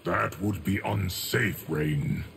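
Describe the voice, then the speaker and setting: An actor voicing a solemn, stoic, ageless guardian of time in a video game, formal and measured with a gravelly voice. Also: male voice, deep voice, fairly bright tone, slight background noise, full character voice.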